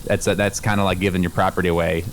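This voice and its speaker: A lowish male voice as a middle-aged dad in a comedy radio play. He is affable but gently preachy, rambling along in a casual, matter-of-fact way. A faint sizzle of food sits behind him. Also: little pitch movement, very fast, a little hiss or hum. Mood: disapproving, lecturing. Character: affable, progressive, gently lecturing middle-aged father of girlfriend. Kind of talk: improvised comedy radio play, naturalistic dialogue.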